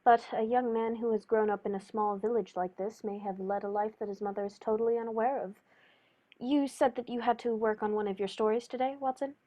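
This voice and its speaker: A woman playing a composed, courteous male detective with formal, measured phrasing in a radio play. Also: on the high side, fairly even intonation, lightly acted. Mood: brisk. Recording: dull lo-fi recording.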